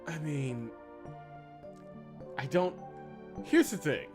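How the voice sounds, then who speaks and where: A man's voice: fairly high pitch, big pitch swings, extremely slow, over music. A man doing sarcastic, exasperated commentary while reading a visual novel aloud. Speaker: let's play host.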